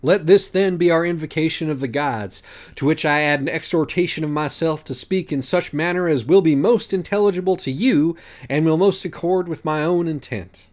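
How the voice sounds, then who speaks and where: A man's voice: a little high, normal speed, voice pushed up, lightly acted. A man voicing a grave, pompous judge of souls, speaking formally and rhetorically in an audiobook. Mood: ceremonious. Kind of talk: mock-classical dialogue in an audiobook.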